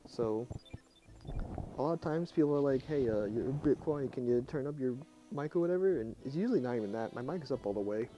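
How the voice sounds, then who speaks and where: Quiet, low-voiced young man streaming. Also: expressive, brisk, dull audio. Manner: quiet and subdued, talking softly at night. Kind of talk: casual live game commentary. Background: faint game music.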